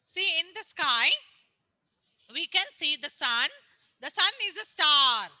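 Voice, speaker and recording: A woman teacher with an Indian English accent, explaining a lesson slowly to young children on a video call, repeating points and asking them questions. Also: sits high, lively intonation, bright, forceful tone, no room sound, clean studio sound. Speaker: primary school teacher. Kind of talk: online class lesson. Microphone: laptop or phone on a video call.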